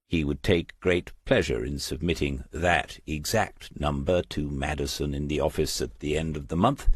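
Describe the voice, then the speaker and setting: A man with an extremely low, husky voice narrates a crime novel in a measured storytelling manner. The recording is dry and close, with very little room around the words. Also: no echo. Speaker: audiobook narrator.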